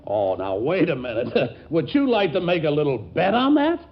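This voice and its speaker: A man's voice: fairly high pitch, huge pitch swings, moderate pace. A man acting a blustering, shifty middle-aged boss in a 1940s radio comedy, hemming and wheedling as he talks. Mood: sly, challenging. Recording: dull, hissy vintage 1940s radio broadcast.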